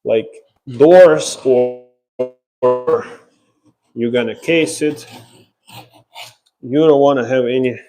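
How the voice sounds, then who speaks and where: A man's voice: mid-range voice, mellow, rough recording. A man from Ukraine with a Ukrainian accent, giving casual live commentary and explaining as he works with tools. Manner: explains while working, pausing as he handles tools. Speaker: drywall installer, live stream host. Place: laundry room under renovation, indoors.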